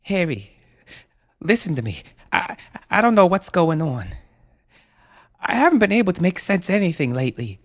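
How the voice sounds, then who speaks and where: A man voicing an anxious, protective mother in a story, with a breathy, pleading urgency. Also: medium pitch, big pitch swings, normal speed, long pauses, pitched above the performer's own voice.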